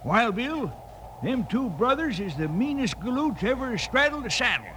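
An actor playing a folksy old rancher who talks in a drawling, rambling way in a radio western. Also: male voice, extremely high pitch, big pitch swings, moderate pace, sharp tone, slight background noise, full character voice. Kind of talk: 1950s radio acting.

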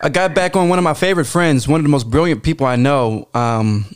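A man's voice: fairly high pitch, brisk, clean tone. An American man hosting a podcast, curious and rambling, often breaking into laughs. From United States. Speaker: podcast host. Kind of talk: casual chat.

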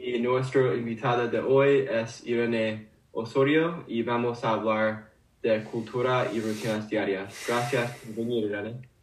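Male co-host, slow and hesitant, distant mic with roomy echo. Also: mid-range voice, steady tone. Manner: hesitant, learner-like Spanish with fillers. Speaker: podcast co-host. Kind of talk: scripted interview questions.

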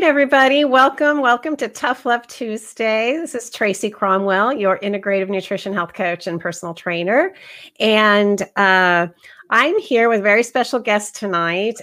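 A woman's voice: on the high side, a bit bright. A woman hosting a health coaching podcast, reading a scripted intro and then chatting with a guest in an upbeat manner.

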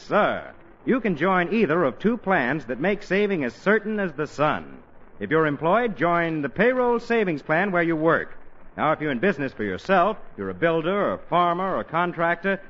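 A man's voice: a little high, a bit bright. A man announcing in polished, folksy 1940s radio style, reading scripted copy on an old broadcast recording. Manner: folksy, polished sales patter. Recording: dull band-limited 1940s radio recording.

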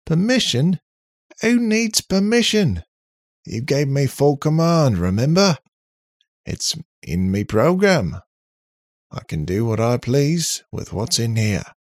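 A man voicing a cheeky, cocky, talkative robot in an animated, boastful, slangy way for an audiobook. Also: mid-range voice, takes it slowly, dry recording, studio quality, pitched above the performer's own voice. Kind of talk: audiobook character voice.

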